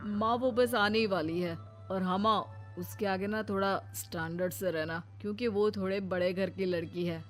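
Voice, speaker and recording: A woman's voice: mid-range voice, steady tone, moderate pace, few pauses, soft background music, close to an everyday voice. A woman voicing an impatient, selfish adult son who is defiant with his parents, in a moral story. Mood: placating.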